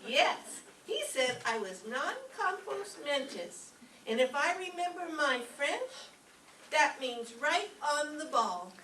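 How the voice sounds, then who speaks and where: A woman's voice: high voice, big pitch swings, takes it slowly, sharp tone, heavily acted. A woman playing a naive, drowsy, dim-witted young secretary in an old-time radio comedy. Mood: naive, earnest. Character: ditzy, sleepy young female secretary, naive and earnest. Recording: roomy, distant stage recording with faint noise.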